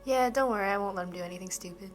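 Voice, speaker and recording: A woman's voice: mid-range voice, rapid-fire, faint music behind, lightly acted. A woman voicing a resolute, caring dragon-rider in a tabletop game, speaking earnestly with some hesitation. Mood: resolute, reassuring.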